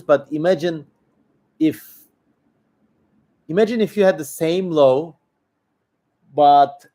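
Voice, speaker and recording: A man's voice: on the high side, a little mellow. A man with a Turkish accent hosting a casual livestream, answering viewers in an opinionated, emphatic, rambling way. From Turkey. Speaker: livestream host.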